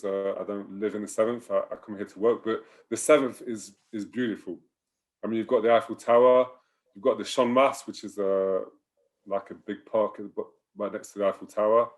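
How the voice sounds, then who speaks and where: Low-voiced guy on a video call, laid back, rambles and trails off. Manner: relaxed, rambling, trails off softly. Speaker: university staff member. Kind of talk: casual panel chat.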